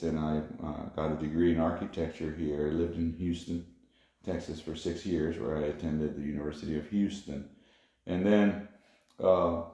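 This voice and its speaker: Casting: middle-aged Honduran-born man, low mellow voice, halting, filler-heavy, echoey room. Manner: unhurried, many fillers and restarts. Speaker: interviewee. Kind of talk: casual family interview.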